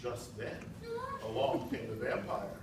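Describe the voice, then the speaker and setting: A man's voice: fairly low pitch, steady tone, takes it slowly. An American man narrating a storybook to children with theatrical, emphatic delivery. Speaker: narrator and presenter, school staff. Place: large school multipurpose room, indoors. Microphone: distant camera. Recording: echoey school hall from far off, noisy.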